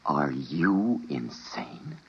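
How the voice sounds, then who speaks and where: A man playing a grave, weary doctor who survived a war, acting in a measured, earnest 1950s radio drama style. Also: rumbling low, big pitch swings, husky, full character voice. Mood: disbelieving, appalled. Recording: noisy, muffled old radio recording.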